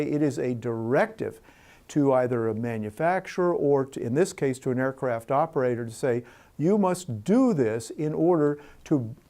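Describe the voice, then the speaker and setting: A man speaks as an expert interviewee, explaining in plain terms. His voice is dark and mellow, at a middle pitch.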